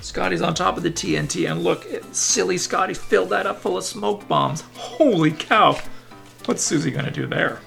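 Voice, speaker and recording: A man's voice: high-pitched, big pitch swings, normal speed, gravelly, music in the background. A man reading a children's story aloud in a playful, enthusiastic way, with casual asides to young listeners. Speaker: children's book reader.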